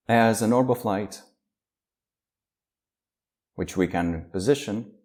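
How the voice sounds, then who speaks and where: Male meditation teacher, low dark voice, unhurried guided practice with long pauses. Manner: slow, calm, with long pauses.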